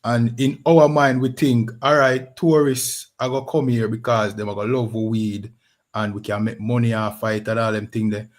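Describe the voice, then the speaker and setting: A man's voice: on the low side, steady tone, normal speed, a little mellow. A man with a Jamaican accent hosting a podcast in a casual talk-show style, often saying "you know what I mean". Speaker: podcast host.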